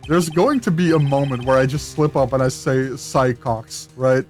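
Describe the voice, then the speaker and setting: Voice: medium pitch, moderate pace. A person doing casual playthrough commentary on a video game, reading character dialogue aloud. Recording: game music behind the voice.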